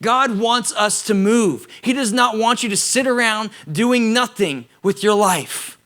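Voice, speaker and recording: Young guy preaching to students, high voice, super casual and animated. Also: measured pace. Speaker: youth pastor. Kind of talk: energetic youth sermon.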